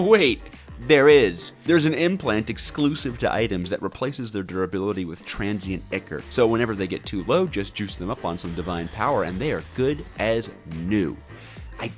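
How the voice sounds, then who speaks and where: A man hosting a gaming video, narrating from a script with wry, jokey asides and an expressive delivery. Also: lowish voice.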